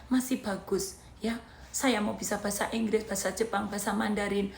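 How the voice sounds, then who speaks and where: A woman's voice: mid-range voice, fairly even intonation, brisk, creaky. Javanese accent woman, 30s, pushy motivational vlog, repeats stuff in lists, roomy sound with faint hiss. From Indonesia. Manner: emphatic, repeats points in lists. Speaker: motivational vlogger. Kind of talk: motivational talk to camera.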